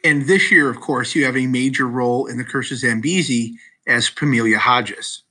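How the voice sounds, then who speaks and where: A man hosting an interview podcast, speaking in a measured, encouraging way to his guests. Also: mid-range voice, pushed and bright. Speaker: podcast host. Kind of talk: podcast interview with school play actors.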